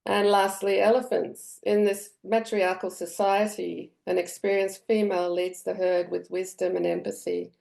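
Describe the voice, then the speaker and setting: Australian woman presenter, dark gravelly voice, prepared reading. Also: medium pitch, little pitch movement, moderate pace, hardly any pauses. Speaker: webinar presenter.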